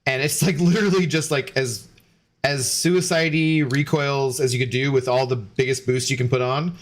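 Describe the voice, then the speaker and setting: A man's voice: mid-range voice, steady tone, normal speed. A young man, a game streamer, chatting loosely with viewers while tipsy, rambling with many fillers and restarts. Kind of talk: casual stream chat to viewers.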